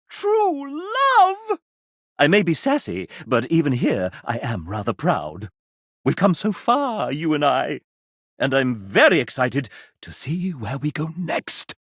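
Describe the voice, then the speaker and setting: Sassy, wildly swooping game show host. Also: male voice, high-pitched, fairly bright tone, no echo, studio quality, full character voice. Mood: grand, affectionate. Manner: grandiloquent, arch, melodramatic. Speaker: voice actor playing a game show host character. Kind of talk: theatrical video game character acting as a game show host.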